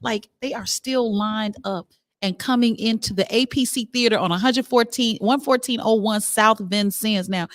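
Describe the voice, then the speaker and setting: A woman's voice: on the high side, normal speed, no room sound. A middle-aged woman hosting a live show in a casual, chatty way, talking directly to her viewers. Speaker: talk show host.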